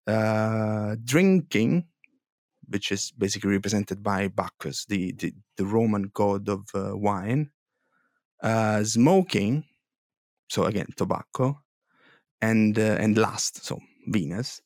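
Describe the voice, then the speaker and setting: A man with an Italian accent, co-hosting a news podcast in a halting way, with many restarts and fillers. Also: lowish voice, darkish tone, no echo, studio quality. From Italy. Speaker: podcast co-host. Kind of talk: casual policy news chat.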